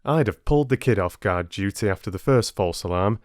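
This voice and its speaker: A man working as an audiobook narrator, reading stories and addressing listeners with measured, deliberate phrasing. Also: lowish voice, animated, dry recording, clean studio sound. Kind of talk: expressive storybook reading and listener chat.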